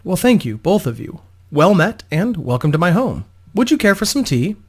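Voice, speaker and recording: A man's voice: high voice, sing-song, moderate pace, husky, pitched above the performer's own voice, heavily acted. A man voicing a young female opera singer, polite, grateful and slightly anxious, in a storybook reading. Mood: polite, welcoming.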